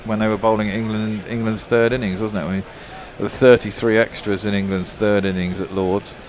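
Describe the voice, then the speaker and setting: A man's voice: on the low side, fairly even intonation, fairly quick, a little mellow. A man commentating on cricket in casual chat, thoughtful and loose with filler words. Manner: thoughtful, lots of "you know" fillers.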